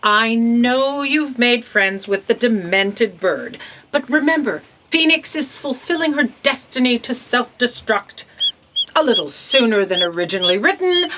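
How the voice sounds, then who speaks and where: A woman's voice: a little high, heavy background noise, natural voice. A woman playing an imperious, exasperated boss in a comic audio drama, commanding and sarcastic in a theatrical way. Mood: smug. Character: imperious exasperated female boss of a bureaucracy of fate.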